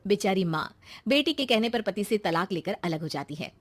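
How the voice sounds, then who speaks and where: A woman's voice: mid-range voice, animated, normal speed, no room sound. A woman narrating a scripted moral story.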